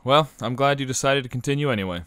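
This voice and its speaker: A man's voice: mid-range voice, fast talker, a bit bright, clean tone, no echo. Formal male radio-drama host. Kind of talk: scripted radio show intro.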